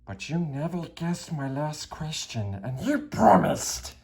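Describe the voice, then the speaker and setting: An anxious but stubborn little male hobbit, voiced by a woman reader, pitched well above her own voice. He calls out and insists with a quiet, pressing edge from a storybook reading. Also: big pitch swings, slow pace, vocal fry, exaggerated voice. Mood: insistent. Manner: insistent, calling out.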